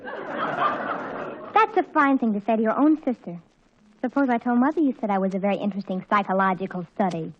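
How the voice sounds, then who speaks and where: A woman's voice: mid-range voice, fairly quick, pauses often, background noise, close to an everyday voice. A woman playing a dramatic, easily flustered teenage girl in 1940s radio comedy, with grandly wordy, haughty delivery. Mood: haughty exasperation. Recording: muffled 1940s radio recording, faint music.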